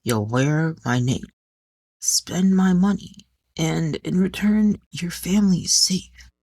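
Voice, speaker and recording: A cool, distant man of wealth, as acted by a woman narrator, curt and measured in an audiobook drama. The lines come slowly, in a very dry recording. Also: fairly low pitch, dark tone, no echo. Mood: cool, firm.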